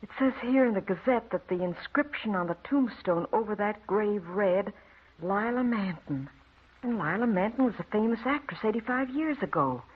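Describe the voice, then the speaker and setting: Matter-of-fact female gossip, rough-edged and confiding, old radio drama, phone-thin sound. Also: medium pitch, animated, normal speed. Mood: hushed horror. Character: matter-of-fact gossiping woman reading the newspaper. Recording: tinny, narrow old radio recording.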